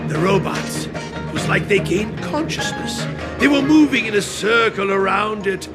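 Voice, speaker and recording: Very high-pitched, excitable eccentric inventor. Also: male voice, full character voice. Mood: worried, earnest. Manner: theatrical, bursts into archaic rhyming verse. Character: eccentric, excitable male master inventor and craftsman. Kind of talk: video game character acting.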